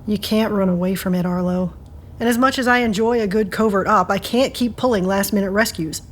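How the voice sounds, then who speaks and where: A woman's voice: mid-range voice, a little fast, close to an everyday voice. A woman playing a protective, wry older friend who gives frank advice in an audio drama. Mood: concerned, probing. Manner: frank, advising. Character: older, protective, wry woman confidante and covert-ops rescuer.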